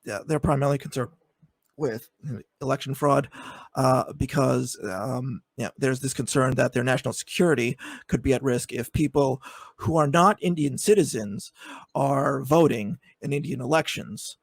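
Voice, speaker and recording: Halting, argumentative man on a dry call. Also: medium pitch, gravelly, dry recording. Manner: hesitant, many fillers, builds into pointed argument. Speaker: debate guest. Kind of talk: online debate.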